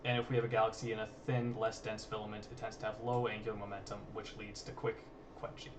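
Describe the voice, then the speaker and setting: A man's voice: lowish voice, flat delivery, quick pace, music in the background. A man informally explaining research as a guest, in a modest, matter-of-fact way. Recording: distant mic, faint background noise.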